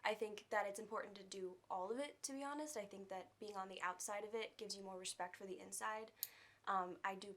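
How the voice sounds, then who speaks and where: A young adult woman with a soft, quiet voice, an actress talking candidly as an interview guest, peppering her speech with "like" and "um.". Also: mid-range voice, brisk. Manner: open, candid, uses fillers like "like" and "um". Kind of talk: casual interview.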